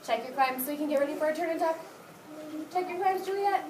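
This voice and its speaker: A woman's voice: sits high, fast talker. A woman teaching young children in a classroom, giving short, directive instructions and praise. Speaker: elementary school teacher. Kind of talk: classroom read-aloud lesson addressed to young children. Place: indoor classroom. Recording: roomy, distant classroom recording with clear background noise.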